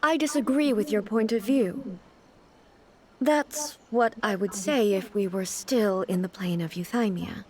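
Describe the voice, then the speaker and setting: A woman playing a composed, reserved female deity ruler in a video game, speaking in a formal, measured and deliberate way. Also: medium pitch, a little mellow, natural voice. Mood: reflective, solemn. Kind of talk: video game character dialogue. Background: music.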